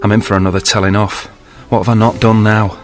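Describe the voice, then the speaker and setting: A man voicing an excitable young male ship enthusiast who gushes and stammers when shocked. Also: fairly low pitch, quick pace, faint music behind, natural voice. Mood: alarmed.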